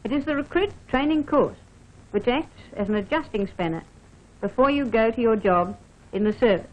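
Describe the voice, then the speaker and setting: A woman with a high voice narrates an air force training film. Her delivery is scripted, formal and instructive, speaking straight to the listener. The sound is dull and narrow, like an old film soundtrack. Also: animated, moderate pace, darkish tone. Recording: dull band-limited vintage film soundtrack.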